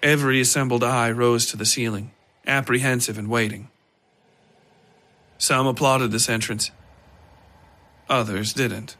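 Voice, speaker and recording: A man narrating a dark fiction story in a deliberate, theatrical way. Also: mid-range voice, fairly even intonation. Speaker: narrator of a horror fiction podcast. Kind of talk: scripted dramatic story narration. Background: music behind the voice.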